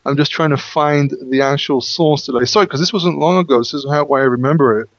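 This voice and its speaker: A man's voice: on the high side, fast talker, dry recording. A man whose first language is Hebrew, explaining a Bible passage in a casual study talk and sometimes searching for English words. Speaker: Bible teacher. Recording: muffled, lo-fi audio.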